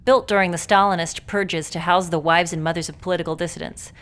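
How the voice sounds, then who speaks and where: A woman's voice: fairly low pitch, fairly even intonation, fast talker, fairly bright tone, lightly acted. An actress playing a wry, well-read woman agent with a dry manner in a video game cutscene. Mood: matter-of-fact.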